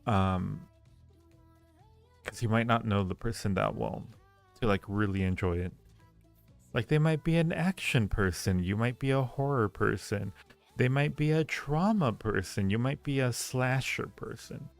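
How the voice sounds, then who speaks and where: A man hosting a casual livestream, musing aloud in fragments as he talks with viewers in a gravelly voice. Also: measured pace, faint music behind. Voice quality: low-pitched, gravelly creak. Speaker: livestream host reading viewer chat.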